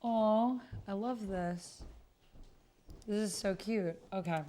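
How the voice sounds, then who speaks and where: A woman's voice: medium pitch, slow, warm tone. A woman streamer chatting casually and musing to herself as she plays a roleplay game. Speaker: streamer playing a solo tabletop roleplay game. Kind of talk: casual livestream chat, thinking aloud.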